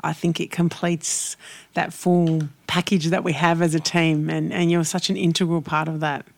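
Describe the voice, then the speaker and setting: A woman hosting a podcast, talking in an effusive, praising, warm way. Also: deep voice, level tone, normal speed, gravelly. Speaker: podcast host. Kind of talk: casual podcast chat.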